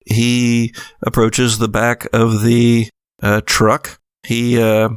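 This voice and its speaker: A man working as game master, narrating a horror tabletop game in a halting way, searching for words. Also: mid-range voice, monotone, smooth, dry recording, clean studio sound.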